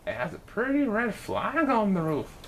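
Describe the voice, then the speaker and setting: Storybook male bird, played by a woman: earnest, excitable, devoted, with big pitch swings. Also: moderate pace, slight background noise, poor audio. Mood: eager.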